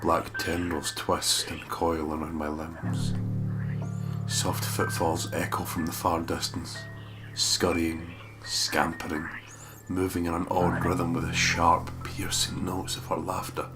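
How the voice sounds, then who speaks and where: Very deep-voiced man reading horror, music behind. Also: level tone, takes it slowly. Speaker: let's play narrator. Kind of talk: dramatic read-aloud of horror visual novel narration. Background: game music.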